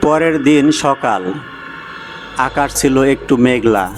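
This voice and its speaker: Male storybook narrator, unhurried and measured, music bed underneath. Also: medium pitch, animated. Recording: music behind the voice.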